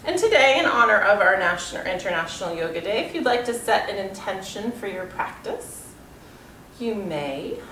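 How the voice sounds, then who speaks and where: A woman in her thirties guiding a yoga class in a calm, unhurried way, sounding a little congested and nasal. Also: medium pitch, a little hiss or hum. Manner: calm, unhurried instruction with soft trailing phrases. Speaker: yoga teacher. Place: room with yoga mat, indoors. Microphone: far camera. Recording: echoey room, mic at a distance.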